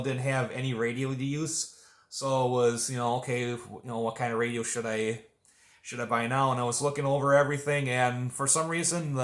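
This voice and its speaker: A man's voice: medium pitch, fairly bright tone, roomy sound. A man chatting casually and at some length as an amateur radio operator on a contact. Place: indoors at a home radio desk.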